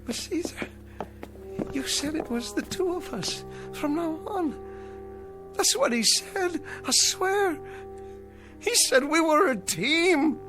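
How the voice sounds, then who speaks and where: Radio drama role: desperate, timid failed performer, stammering pleas, gravelly edge, very animated pitch. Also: male voice, slow, long pauses, over music, heavily acted. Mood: desperate pleading. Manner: stammering, pleading. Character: desperate, meek failed ventriloquist, pleading with his dummy.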